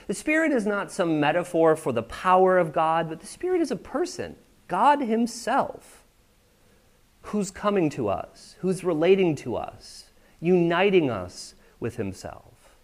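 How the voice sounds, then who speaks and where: Lively, conversational male preacher. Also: fairly high pitch, measured pace. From United States. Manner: conversational, checks in with listeners often. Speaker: pastor. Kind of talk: sermon. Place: church sanctuary.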